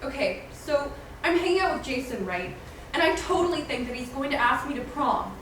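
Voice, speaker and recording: In a very echoey room, far from the mic, a woman acts out a heartbroken high school girl of sixteen. She is dramatic and whiny, and her bright voice swings up and down emphatically, as in a teen comedy script read aloud. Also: lively intonation, normal speed. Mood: anxious recounting. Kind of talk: screenplay table read acting. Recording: very echoey room, distant mic, faint noise.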